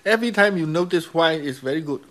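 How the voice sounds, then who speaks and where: A man's voice: fairly high pitch, animated, quick pace, fairly bright tone. Burmese-accented man teaching meditation. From Myanmar. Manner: broken English grammar, repeats key words, asks "right?". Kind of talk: teaching dialogue with students.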